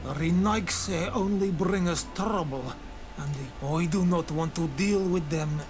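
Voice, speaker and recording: An actor playing a wary, solemn village keeper of oaths in a fantasy game, speaking in a measured, guarded way. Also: male voice, high voice, over music. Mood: reluctant. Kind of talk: scripted game dialogue acting.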